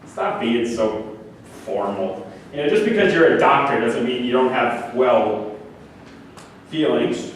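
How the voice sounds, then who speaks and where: Man acting out a touchy delusional middle-aged guy on trial, flirty and halting, stage play, really echoey room. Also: medium pitch, lightly acted. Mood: flirtatious, needling. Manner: halting, needling, indignant when challenged. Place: live staged reading in an echoing room. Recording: echoey room, distant mic, background hiss.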